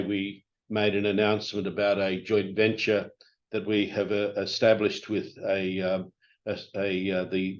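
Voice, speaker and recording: Exec guy on an earnings call, deepish voice, kinda hesitant and informal, muffled lo-fi line. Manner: halting, hesitant, informal delivery. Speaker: company executive presenting results. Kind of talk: investor conference call presentation. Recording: dull, band-limited conference call audio.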